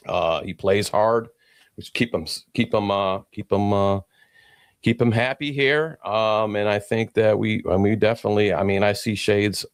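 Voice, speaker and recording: A man with a very deep voice and a stuffy nose co-hosts a casual sports show, listing stats in a laid-back way. His voice is close and dry, with little room sound around him. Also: steady tone, a little slow, no room sound. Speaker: co-host. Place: podcast studio.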